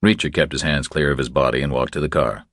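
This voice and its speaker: Male audiobook narrator, extremely low pitch, measured, terse, crime thriller, dry close sound. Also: steady tone, fairly bright tone, no room sound.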